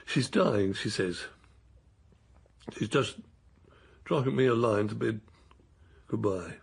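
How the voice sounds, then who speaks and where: A man in his sixties playing a quiet, hesitant retired man in a radio play, speaking in soft, trailing phrases. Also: on the low side, takes it slowly, vocal fry, husky, heavily acted. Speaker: radio drama actor.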